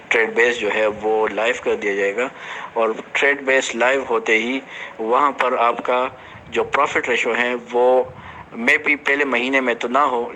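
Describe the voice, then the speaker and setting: A man gives an informal, explanatory announcement to his team as a company director, halting at times and mixing in English business terms. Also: medium pitch, pushed and bright, slight background noise, low-quality recording.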